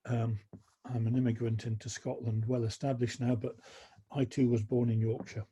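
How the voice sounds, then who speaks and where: Dark husky-voiced man on a call. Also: medium pitch, flat delivery, normal speed. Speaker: neurologist and network chair. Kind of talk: casual video call introduction. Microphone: video call.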